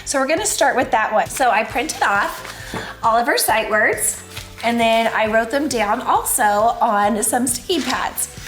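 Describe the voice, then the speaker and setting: A young woman with a hoarse voice, chatting casually about homeschooling in a home vlog. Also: fairly high pitch, animated, a little slow, a bit bright, faint music behind. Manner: chatty, upbeat, praising her child. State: hoarse, lost her voice. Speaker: homeschooling mom, channel host. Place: family home, indoors.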